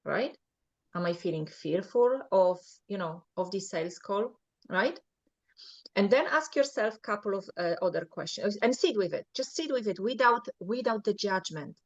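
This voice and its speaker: A woman's voice: mid-range voice, animated, moderate pace. Earnest Polish-accented woman coach. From Poland. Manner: earnest, often checks in with "right?". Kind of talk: coaching advice in an interview. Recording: muffled lo-fi audio.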